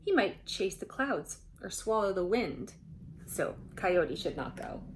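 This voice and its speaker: A woman's voice: mid-range voice, animated, slow pace, background noise. A woman voicing worried forest animals debating in a storybook, with emphatic, exclamatory delivery. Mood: worried, argumentative. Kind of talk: storybook character voices.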